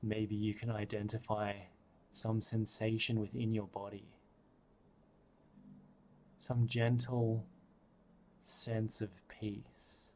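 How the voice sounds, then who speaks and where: Man, meditation guide, very slow, soft and soothing, long pauses. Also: lowish voice, monotone, a little hiss or hum.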